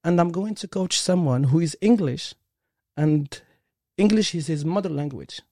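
A man's voice: on the high side, moderate pace, warm tone, dry recording, clean studio sound. A man with a Moroccan accent and Arabic as his first language, telling his own story casually and earnestly in an interview, peppered with "like" and "you know". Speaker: interview guest, performance coach.

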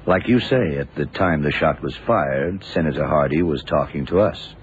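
An actor playing a shrewd, laconic detective in an old radio drama, deliberate and probing in manner. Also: male voice, extremely low pitch. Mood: pondering. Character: shrewd, laconic male detective puzzling out a murder. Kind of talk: 1960s-70s radio drama acting. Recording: muffled, noisy old radio recording.

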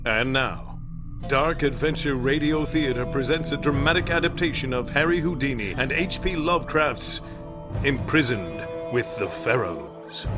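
A man plays a vintage radio host and pitchman with a mid-range voice. His delivery is polished, deliberate and dramatic, and music plays clearly behind him. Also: lightly acted. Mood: storytelling. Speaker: host and narrator. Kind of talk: 1930s radio narration.

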